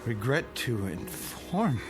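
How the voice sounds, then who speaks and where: Bewildered male crewman, radio drama: slow, halting, expressive, heavy music underneath. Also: mid-range voice. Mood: shaken. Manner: halting, troubled, questioning. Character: anxious, bewildered male spaceship crewman, doubting and haunted. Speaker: actor playing a spaceship crewman. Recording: loud dramatic music behind the voice.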